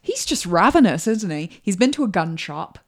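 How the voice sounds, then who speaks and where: A woman co-hosting a casual film podcast, playful and quick to laugh as she recaps the plot. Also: mid-range voice, very animated. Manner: playful, laughs often, joking. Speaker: podcast co-host.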